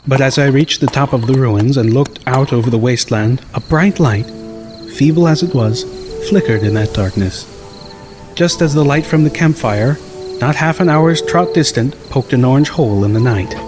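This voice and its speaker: A man narrating a first-person story as an audiobook, reading in a measured, dramatic way. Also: medium pitch. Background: music.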